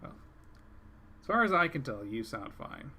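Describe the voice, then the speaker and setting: A man's voice: mid-range voice, sing-song, normal speed, bright, forceful tone, a little hiss or hum. A man giving easygoing, joking commentary as he co-hosts a game livestream. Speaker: co-host running a game livestream. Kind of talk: casual gameplay commentary.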